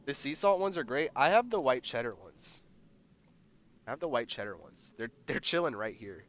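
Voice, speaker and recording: A man's voice: medium pitch, very animated, normal speed, a bit bright. A man hosting a livestream, chatting casually and rambling while reading the chat aloud, congested and nasal. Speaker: livestream host.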